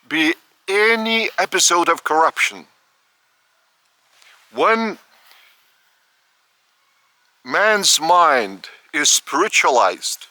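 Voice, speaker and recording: A man from Lithuania, giving a spiritual talk outdoors in the park of an old estate, speaking slowly in short, halting phrases. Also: a little high, sharp tone, no echo. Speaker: spiritual teacher.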